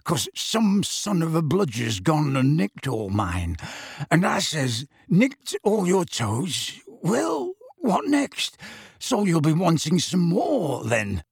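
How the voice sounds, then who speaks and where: Shifty, boisterous male rogue for a storybook; rough speech, animated, dry recording. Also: fairly high pitch, slow, no echo, pitched above the performer's own voice, exaggerated voice. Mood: gleeful. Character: gruff, shifty, boisterous crook telling a yarn.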